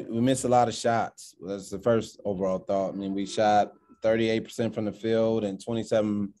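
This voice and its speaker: A basketball coach in his thirties speaks over a video call after a game, his voice low and dark in tone. He delivers his answers in a flat, even line, pausing often with fillers and repeated words as he works through each thought. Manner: measured, halting, many fillers and restarts. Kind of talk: post-game press conference answers. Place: indoors in front of a sponsor backdrop. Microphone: video call, framed at desk distance.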